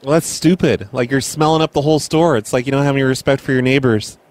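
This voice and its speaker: A man doing prank phone calls as a mall Santa, in a deadpan, crude, mock-serious way. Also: medium pitch, fast talker. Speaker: prank call host.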